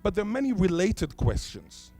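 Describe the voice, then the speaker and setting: A man whose first language is Hebrew, giving a conference talk in a lecture hall, posing rhetorical questions and stressing key words deliberately. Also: sits high, sing-song, warm tone, faint music behind. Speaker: conference speaker.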